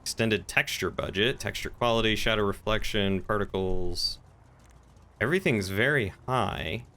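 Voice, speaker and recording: A man's voice: fairly low pitch, moderate pace, pushed and bright. A man chatting casually on a game live stream in an easygoing way, with vehicle noise from the game behind him.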